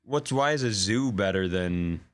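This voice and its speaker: A man's voice: deep voice, lively intonation, measured pace. A man co-hosting a comedy podcast, trading casual banter and teasing quips.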